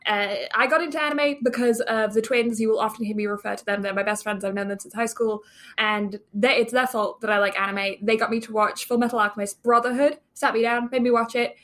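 A woman's voice: on the high side, steady tone, a little fast, fairly bright tone. Chatty woman with a mixed English-Canadian accent. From England, raised in Canada. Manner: chatty, laughs often. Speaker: podcast co-host. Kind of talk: casual chat.

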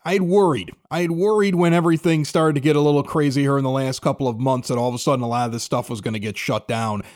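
A man's voice: mid-range voice, fast, a little mellow. A man hosting a radio show, interviewing guests in a casual, conversational style.

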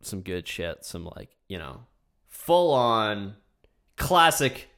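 Young male podcast host, lowish voice, rambling, laughs often. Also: very animated, slow pace, fairly bright tone. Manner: rambling, enthusiastic, laughs a lot. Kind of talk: casual chat.

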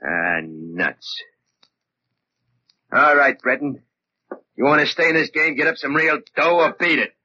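A man's voice: a little high, unhurried, long pauses, sharp tone, breathy edge, exaggerated voice. An actor playing a hot-tempered, suspicious American tough guy who talks in blunt street talk, 1940s radio drama style. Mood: irritated. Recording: dull old radio recording.

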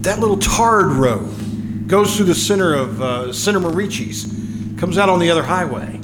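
Man acting an impatient husband, lively and higher-pitched. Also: a little slow. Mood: restless, casual. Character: impatient, wisecracking husband driving through heavy traffic. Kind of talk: live old-time radio suspense acting. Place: live performance at a winery. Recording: live stage recording, loud background noise.

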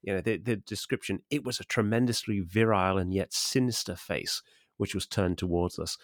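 A man with a lowish voice chats about literature as a podcast host. His manner is wry and discursive, moving easily between comment and quotation. The recording is very dry, without room sound. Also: moderate pace, no room sound. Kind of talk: conversational literary discussion, quoting passages.

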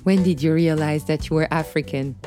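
A woman interviewer asking short, calm questions. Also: sits low, fairly quick, music in the background.

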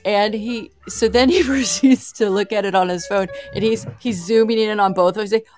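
A woman's voice: medium pitch, fairly even intonation, normal speed, darkish tone, soft background music. A woman co-hosting a radio show, telling stories in a casual, playful, giggly way and mimicking people she quotes. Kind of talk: casual chatty anecdote telling.

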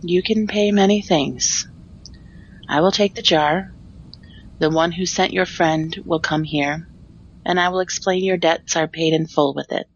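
Casting: actress for a cryptic, regal oracle, lowish female voice, formal and measured, audio drama, slightly muffled sound. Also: husky. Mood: calm authority. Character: enigmatic oracle queen, calm, measured and commanding.

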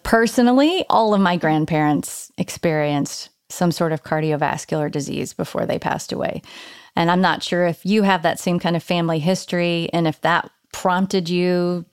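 A woman's voice: on the low side, clean tone, dry recording, clean studio sound. A woman hosting a podcast, asking guests questions in an engaged, inviting way. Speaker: podcast host. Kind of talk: interview questions.

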